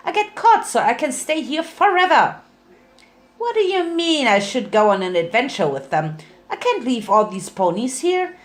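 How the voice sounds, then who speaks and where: A woman voicing a bouncy, silly young female pony secret agent, chatty and excitable, in a dramatic story reading. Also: on the high side. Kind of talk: dramatic fan fiction reading.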